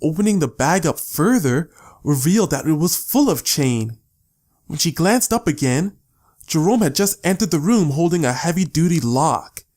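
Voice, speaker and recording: A man's voice: on the high side, lively intonation, normal speed, darkish tone. A man reading scripted story narration as the narrator of an audiobook.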